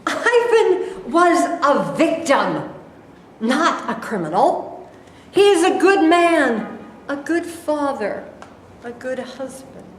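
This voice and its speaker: A woman's voice: sits high, big pitch swings, slow pace, exaggerated voice. A woman acting the part of a protective wife defending her accused husband in a staged reading of a play. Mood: protective, pleading. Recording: echoey hall, light noise.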